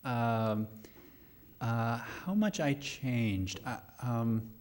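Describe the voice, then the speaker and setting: A middle-aged man speaking thoughtfully and haltingly as a playwright answering questions at a live post-show discussion. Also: mid-range voice, animated, a little mellow, a little hiss or hum. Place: live radio play venue before a studio audience.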